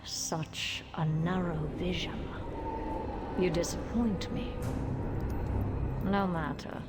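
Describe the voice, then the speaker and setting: Game casting: haughty, cold villain, lowish unhurried voice, loud music behind. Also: female voice, steady tone. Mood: cold disdain. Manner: disdainful, deliberate. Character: cold, imperious female villain. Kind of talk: fantasy game acting.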